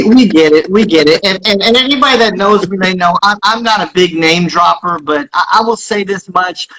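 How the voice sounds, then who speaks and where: High-pitched, husky, forceful man. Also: low-quality recording. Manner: emphatic, exhorting, repeats himself for stress. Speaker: guest, music industry founder. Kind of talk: live interview, motivational business advice.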